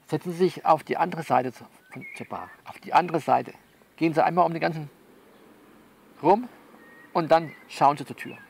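An actor as a bossy, soothing man with a creaky voice. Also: fairly high pitch, a little hiss or hum. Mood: insistent, instructive. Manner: halting, repetitive, instructive, reassuring. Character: bossy, soothing male confidant coaching a nervous woman. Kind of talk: one-sided phone conversation in an audio novel.

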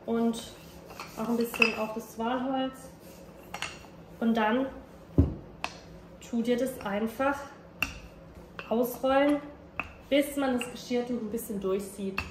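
A young woman in her twenties, a food vlogger chatting casually and relaxed at home. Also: fairly high pitch, takes it slowly, long pauses. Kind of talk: casual vlog chat. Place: home rooms and kitchen, indoors. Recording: echoey room with background noise.

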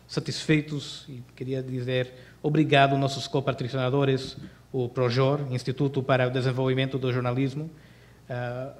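Man giving a halting podium speech. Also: mid-range voice. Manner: halting, frequent fillers, reading from notes. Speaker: US consul general giving opening remarks. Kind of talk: formal seminar speech. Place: conference auditorium, at a podium. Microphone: podium microphone.

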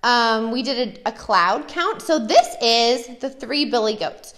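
A woman's voice: a little high, lively intonation, measured pace, fairly bright tone. An American woman, a preschool teacher, chatting enthusiastically and casually as she shows classroom activities.

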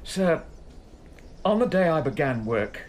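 A man's voice: fairly high pitch, sing-song, measured pace, darkish tone, a little hiss or hum. An actor playing a conscientious, troubled prison keeper reporting respectfully to his superior in a radio drama. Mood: troubled, earnest.

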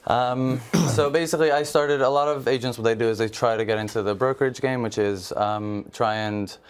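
A man's voice: mid-range voice, fairly even intonation, brisk, smooth. A young man from Israel, a football agent as a studio guest, explaining in an earnest way with many fillers on a TV panel. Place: large TV studio.

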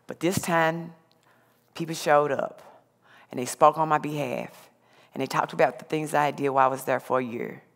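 A middle-aged woman giving a plainspoken, conversational personal testimony on a theater stage through a headset microphone. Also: sits low. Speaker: speaker sharing personal testimony. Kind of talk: personal testimony to a live audience. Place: large theater stage, indoors. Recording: hall reverb from stage sound.

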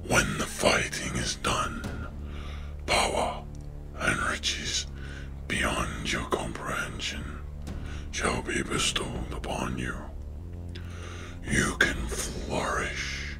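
An ancient villain lord speaks in a gravelly whisper, drawing out each portentous phrase very slowly. He is grandiose and menacing, a cold commander from a horror short, with music behind him. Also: a bass voice, exaggerated voice. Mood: coldly tempting. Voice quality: raspy, croaking whisper. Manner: slow, portentous, drawn-out phrases.

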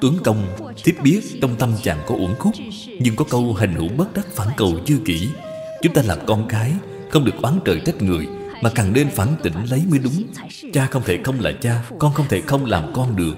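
A man voicing a gentle, dutiful young wife from old China in a cartoon, speaking with deference and patience. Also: mid-range voice, very animated, fairly quick, close to an everyday voice. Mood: gently persuading. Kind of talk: cartoon dubbing. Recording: long reverb, music behind.

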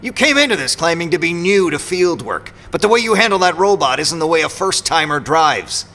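A man's voice: fairly high pitch, animated, fairly quick, bright, forceful tone. A man playing an irritable, suspicious mercenary robot pilot in an audio drama, sardonic and confrontational. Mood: irritated, confrontational.

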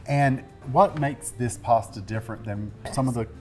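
A man's voice: medium pitch, normal speed, over music. A man in his forties hosting a casual cooking demonstration in a studio kitchen, chatty and easygoing as he explains each step. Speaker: cooking show host.

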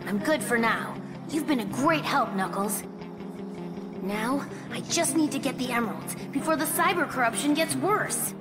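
A woman's voice: high voice, moderate pace, over loud music, lightly acted. A woman voicing an earnest, brave young boy fox inventor in a video game, explaining things with determination. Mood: worried but resolute.

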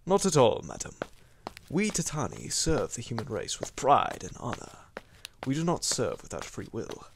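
A man's voice: medium pitch, wildly swooping pitch, moderate pace, creaky, background noise. A man voicing a polite, proud male servant being in a fan audio drama, speaking in formal, courteous phrases.

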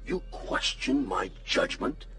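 An actor playing a cold, merciless alien leader in a radio drama, speaking in an imperious, accusing manner. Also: male voice, slow, bright, forceful tone, faint music behind. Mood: cold, stern.

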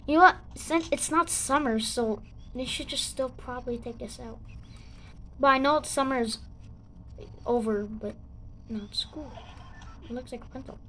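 A young girl with a slightly high voice chats through a match, sore-throated and loosely rambling. She trips over words and restarts often, and her talk jumps up in sudden excited bursts. A faint hum of noise sits under her voice. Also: poor audio. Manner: rambling, halting, with excited outbursts. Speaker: gaming channel host. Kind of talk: casual gameplay commentary.

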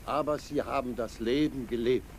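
An actor playing a gentle old judge in a 1950s radio drama, speaking sadly and consolingly. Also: male voice, mid-range voice, moderate pace, close to an everyday voice. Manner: sad, consoling. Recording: faintly noisy old radio recording.

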